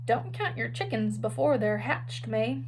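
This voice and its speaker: Storybook little boy, voiced by a woman: guileless, earnest, proud, higher register; noisy recording. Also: lively intonation, measured pace. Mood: confident.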